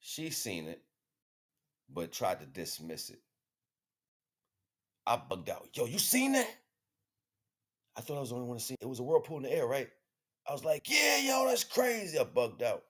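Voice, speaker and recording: A man's voice: medium pitch, wildly swooping pitch, normal speed, pauses often, fairly bright tone. An American man giving a casual spiritual monologue as a livestream host, in slangy, profane, conversational speech.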